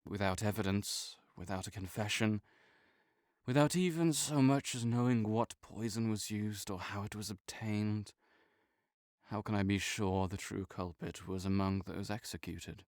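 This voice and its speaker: Man acting a slow, low-voiced sickly nobleman. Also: darkish tone, dry recording, clean studio sound, natural voice. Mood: melancholy, brooding. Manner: formal, measured, genteel old-fashioned phrasing. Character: sickly, world-weary nobleman, proud, formal and melancholy. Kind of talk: intimate scripted audio roleplay.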